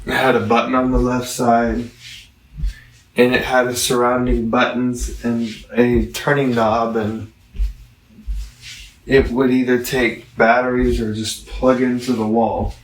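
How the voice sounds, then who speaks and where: A man giving a casual, rambling product review, halting and digressive, with long pauses. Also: mid-range voice, little pitch movement. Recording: roomy echo, distant microphone.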